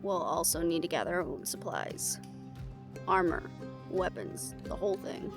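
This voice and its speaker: A woman's voice: lowish voice, animated, a little slow. An actress playing a wary, protective and responsible woman hunter in a fantasy audio roleplay, blunt and skeptical in manner. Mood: blunt, practical. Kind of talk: scripted audio roleplay drama. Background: background music.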